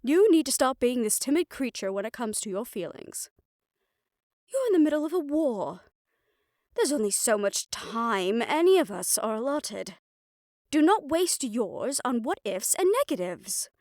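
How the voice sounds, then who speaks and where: Woman acting a slow-spoken, stern protective doctor. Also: fairly high pitch, very animated, a little mellow, clean studio sound. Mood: earnest, insistent. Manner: measured, deliberate, formal, faintly haughty phrasing. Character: stern, protective female doctor, softly fond former yandere. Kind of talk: scripted audio roleplay, speaking intimately to the listener. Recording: roomy echo.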